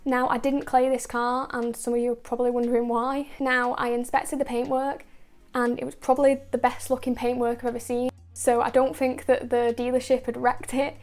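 A woman narrating a car detailing video in a steady, explanatory voice-over, going through each step and product methodically. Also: on the high side, fairly quick, few pauses, soft background music. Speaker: car detailing channel host.

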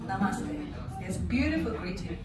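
A middle-aged woman lecturer, who speaks English as a foreign language, talking into a handheld mic in a university classroom. She speaks slowly and deliberately and is animated and laughs often. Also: medium pitch, over loud music. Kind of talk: classroom lecture, lively interaction with students. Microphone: handheld microphone. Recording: roomy classroom audio from a far camera. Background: student chatter.

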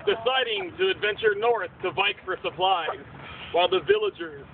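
A man's voice: sits high, huge pitch swings, normal speed, gravelly. A man narrating a puppet show in dramatic, theatrical storyteller verse. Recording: tinny outdoor recording, faint noise.

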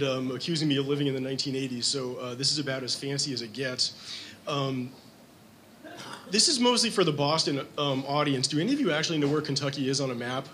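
A man's voice: medium pitch, fairly bright tone. Male physician, 40s, podium talk; conversational, self-deprecating, hesitant fillers; faint room noise. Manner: conversational, self-deprecating, many ums and restarts. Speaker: physician lecturer. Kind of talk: conference lecture. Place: hospital conference room. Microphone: podium microphone.

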